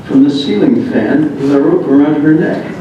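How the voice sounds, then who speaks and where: Man reading aloud in a very echoey room. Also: normal speed. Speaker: reader of a memoir. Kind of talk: reading a written first-person narrative aloud. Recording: muffled, very echoey room, distant mic, rumbling noise.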